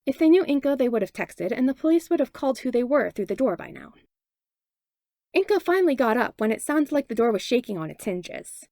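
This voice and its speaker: A woman reading fan fiction aloud as a podfic narrator, with expressive, animated storytelling. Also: fairly high pitch, brisk, dry recording, studio quality. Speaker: podfic reader.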